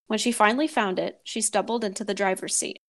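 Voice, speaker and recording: A woman's voice: mid-range voice, steady tone, fast, a bit bright. A woman podcast host, talking and reading a story aloud in a dramatic way.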